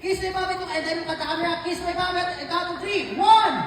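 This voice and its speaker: A woman's voice: very high-pitched, fairly even intonation, quick pace. Playful Filipino female puppeteer-host, exclamatory live patter, heard from afar in a reverberant room. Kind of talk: live children's party puppet show patter. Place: large echoey room with an audience. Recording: echoey noisy hall, far from mic.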